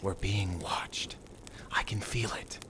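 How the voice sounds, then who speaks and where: A man playing a determined, earnest vampire slayer in a cinematic audio roleplay, explaining things in a measured way. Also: deep voice, fairly even intonation, in a whisper. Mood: hushed, alert. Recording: clearly noisy ambience behind the voice.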